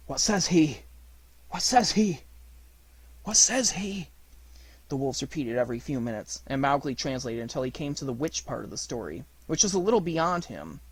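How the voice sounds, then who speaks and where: A man narrates a storybook as an audiobook reader. His voice sits at a middle pitch, and he reads in a scripted storytelling style. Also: breathy edge.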